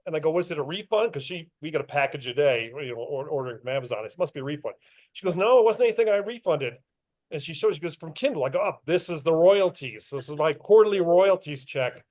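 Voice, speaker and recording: A man's voice: on the high side, animated, fast, a little mellow. A middle-aged man, an author speaking at a club meeting, chatting casually and poking fun at himself. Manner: chatty, self-deprecating, laughs often. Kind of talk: casual club talk with questions.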